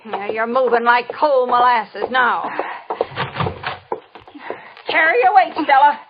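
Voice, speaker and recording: A woman's voice: on the high side, lively intonation, slow. An actress playing a harsh, bitter older washerwoman in an old radio drama, mocking and bullying in rustic, folksy speech. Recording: noisy, dull old radio recording.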